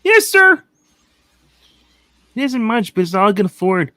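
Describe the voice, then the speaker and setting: A man performing a put-upon clerk in a radio play, eager to please and timid. The voice is bright and swings widely, always deferential and jumpy. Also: sits high, very animated, unhurried, dry recording, clean studio sound, heavily acted. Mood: eager, flustered. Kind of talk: theatrical radio play reading.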